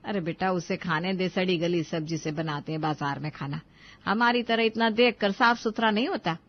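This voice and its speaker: A woman's voice: lowish voice, moderate pace, muffled recording, natural voice. A woman playing a caring, thrifty middle-aged mother in a cartoon moral story, reasoning and scolding in a homely way.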